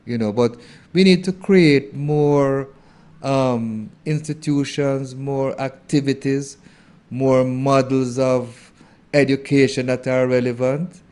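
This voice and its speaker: A man, a theologian answering an interview question in a thoughtful, halting way with many fillers. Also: mid-range voice, darkish tone. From Jamaica.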